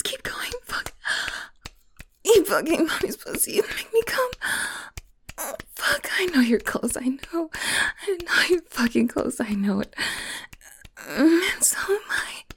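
A woman performing an intimate audio roleplay, whispering and moaning in a teasing, breathy manner. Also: very high voice, sing-song, slow, pauses often, a bit bright. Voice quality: breathy, husky.

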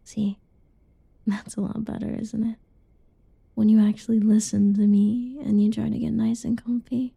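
A young woman whispering softly in a teasing, cuddly ASMR roleplay, with giggles between lines. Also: on the high side, level tone, normal speed, vocal fry, breathy edge, no echo. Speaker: roleplay narrator.

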